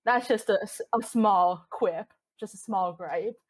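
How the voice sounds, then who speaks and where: A woman's voice: mid-range voice, unhurried, slightly rough. A woman in her twenties from Hong Kong, chatting with enthusiasm as a podcast guest, with plenty of fillers. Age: 20s. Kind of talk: casual interview chat.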